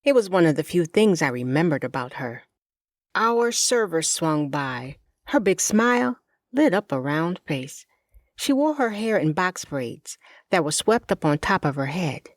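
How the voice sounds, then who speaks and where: A woman reading first-person fiction as an audiobook narrator, in an expressive, deliberate storytelling manner with measured phrasing. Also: fairly low pitch, dry recording, clean studio sound. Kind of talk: first-person scripted fiction narration of a cozy mystery, in character.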